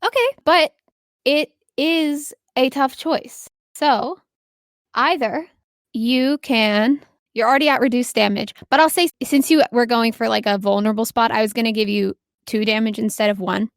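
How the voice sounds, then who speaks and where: A woman's voice: fairly high pitch, normal speed, dry recording, studio quality. A woman acting as game master, narrating a tabletop role-playing game in a casual, improvised way and encouraging the players. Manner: encouraging, affirming players with short praise.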